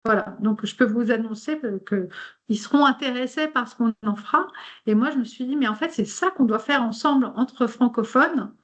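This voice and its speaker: A woman whose first language is German, speaking French in an online meeting. She gives an informal presentation, partly reads a text aloud, and pauses often with fillers and restarts. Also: on the high side. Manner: hesitant, many fillers and restarts.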